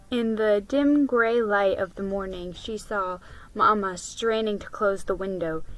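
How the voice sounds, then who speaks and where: Woman reading a kids book out loud, takes it real slow and deliberate. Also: medium pitch, poor audio. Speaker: vlogger reading books aloud. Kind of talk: dramatic reading of a children's book.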